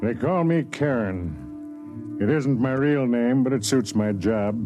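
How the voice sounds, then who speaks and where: An actor playing a weary, kindly middle-aged overseer of a space waystation in a 1950s radio drama, measured and reflective. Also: male voice, mid-range voice, lively intonation, muffled recording. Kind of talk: 1950s radio drama acting, first-person narration. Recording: 1950s radio broadcast with music.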